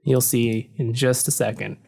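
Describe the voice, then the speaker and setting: A deep-voiced man talks through an audio-editing walkthrough in an easygoing, conversational way. He records at his desk in an untreated home room. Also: measured pace, creaky. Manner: relaxed and explanatory, with frequent "so" and "uh". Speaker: tutorial narrator. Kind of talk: casual screen-recording walkthrough. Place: home room without soundproofing. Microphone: desk mic facing the computer screen.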